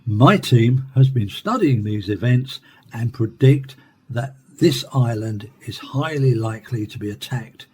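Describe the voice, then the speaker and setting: A man plays an academic expert in a science fiction radio play. His voice is mellow and mid-pitched, and he explains things in a formal, deliberate way. There is faint background noise around him. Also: expressive, lightly acted. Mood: earnest, explanatory. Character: measured male Cambridge professor explaining alien attacks.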